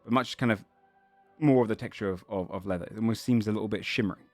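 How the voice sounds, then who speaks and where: A man's voice: on the low side, quick pace, a little mellow, no echo, soft background music. A man running a tabletop game as game master, describing items and scenes while searching for words.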